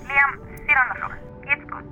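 A woman's voice: sits high, level tone, moderate pace, pushed and bright, faint music behind. An actress playing a cautious, patient woman coaching a friend, in a naturalistic audio drama with a careful, conversational delivery. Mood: awkward, instructive.

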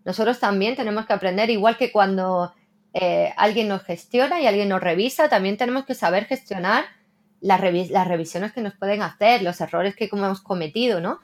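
A woman translator speaks in a relaxed interview, thinking aloud about her work. She keeps checking in with the listener with little tag questions. Her voice sits at an ordinary middle pitch. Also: brisk. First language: Spanish. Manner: reflective, frequent "¿no?" tags. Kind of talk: casual interview conversation.

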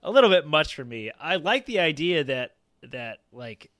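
A young man chatting casually as a podcast panelist, thinking aloud in an animated way. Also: fairly high pitch, moderate pace, no echo. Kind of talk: casual chat.

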